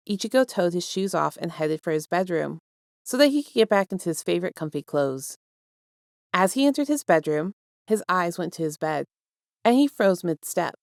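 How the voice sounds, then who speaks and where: Low, creaky-smooth woman narrating a story. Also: dry recording, studio quality. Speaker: fan fiction reader. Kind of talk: expressive scripted story narration.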